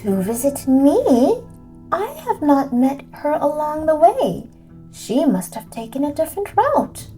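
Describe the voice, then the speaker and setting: Storybook wolf played by a woman: old, male, sly, coaxing as a fake granny, unhurried, music underneath. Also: high voice, sing-song, warm tone, voice pushed up, poor audio. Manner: coaxing, falsely affectionate. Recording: music behind the voice.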